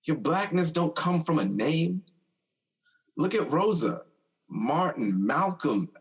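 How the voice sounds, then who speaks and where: A young, protective older brother in an audio drama. His voice sits a little high for a man. He talks expressively and informally, streetwise, with a passionate, teasing streak. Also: sing-song, slow, heavily acted. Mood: animated, reassuring.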